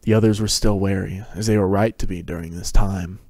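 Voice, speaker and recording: A man's voice: deep voice, fairly even intonation, brisk, a little mellow, no echo, clean studio sound. A man giving a scripted dramatic reading of a fantasy story as narrator. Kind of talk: dramatic reading of fan fiction.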